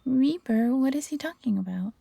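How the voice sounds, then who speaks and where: A woman plays a young fox-hybrid adventurer in a fantasy audio drama. Her voice is mellow and husky with some creak, recorded close and dry. She comes across as earnest and full of questions. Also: high-pitched, expressive, normal speed, no echo, full character voice. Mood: puzzled, concerned. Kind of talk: fantasy audio roleplay acting.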